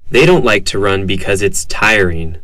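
A man reading scripted lines for a language lesson in a plain, measured way. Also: lowish voice, expressive, a bit bright, no room sound. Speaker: dialogue reader.

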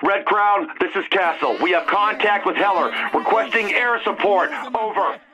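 A man playing a hard, commanding military field commander in a video game, giving forceful orders through a radio filter. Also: high voice, moderate pace, a crowd in the background. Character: hard, foul-mouthed male field commander. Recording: dull radio with static.

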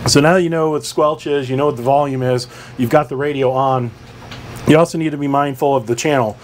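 A man's voice: medium pitch, fairly even intonation, fairly quick. Man giving an informal tutorial over background noise. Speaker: instructor presenting a boat radio guide. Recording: clear background ambient noise.

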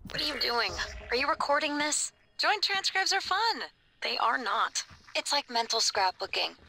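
An actress voicing a young woman crew member in love, intimate, playful and halting, in a naturalistic game style. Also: high voice, animated, creaky, pushed and bright, heavily acted, low-quality recording. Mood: playful, affectionate.

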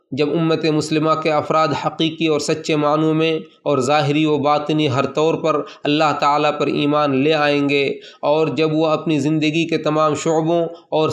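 A man delivers a Friday sermon translation in a slightly raised, narrow-ranged voice. His reading is formal and steady, with an even cadence that rarely lifts or falls. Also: fairly high pitch. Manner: addresses listeners as servants of God.

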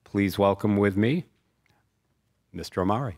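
A man's voice: lowish voice, a little slow. An older man acting as moderator, reading a speaker's introduction from a sheet at a podium in a conference hall. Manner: reads from a sheet, steady and formal. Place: conference hall, indoors, large. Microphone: podium mic.

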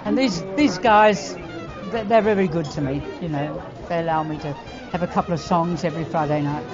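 Woman in her eighties, deep-voiced singer, wry and spirited, lo-fi with a chattering crowd and music. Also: brisk. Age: 80s. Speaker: jazz singer.

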